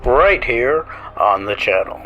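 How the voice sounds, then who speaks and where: A man's voice: medium pitch, animated, slow, bright, forceful tone, dull audio. A man hosting a horror radio show with a scripted, theatrically spooky introduction. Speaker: host. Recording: music behind the voice.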